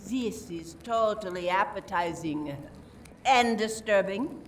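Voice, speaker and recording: A theatrical lady police inspector from France, acted by a woman in an amateur radio mystery. She is suspicious and accusatory, speaking with deliberate drama. She is a little far from the mic, with faint noise behind her. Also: mid-range voice, animated. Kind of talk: amateur radio play acting.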